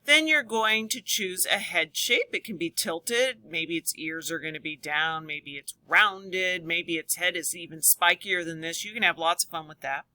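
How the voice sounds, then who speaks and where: A woman's voice: lowish voice, measured pace, hardly any pauses, pushed and bright, no echo, poor audio. A woman teacher reading aloud and explaining to children, speaking expressively and addressing them directly. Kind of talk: children's read-aloud and lesson.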